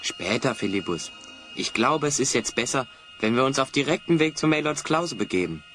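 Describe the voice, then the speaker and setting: A man's voice: medium pitch, animated, normal speed, a bit bright, faint music behind, natural voice. An actor playing a sensible, cautious hero in an audio drama, urging his companions along. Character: level-headed, cautious male adventure hero. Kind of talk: German audio drama acting.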